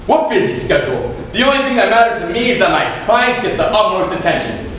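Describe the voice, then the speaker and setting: A male actor in a school stage comedy playing a shady, flustered, smooth-talking therapist with a glib, sarcastic manner. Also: sits high, animated, quick pace, a bit bright. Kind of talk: live amateur stage comedy acting. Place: school stage, recorded from the audience. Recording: echoey hall, distant mic, noisy audience room.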